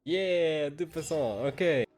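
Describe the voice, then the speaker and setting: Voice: mid-range voice, slow pace, a little mellow, soft background music. A YouTuber giving casual live reactions to a game in short, slangy interjections.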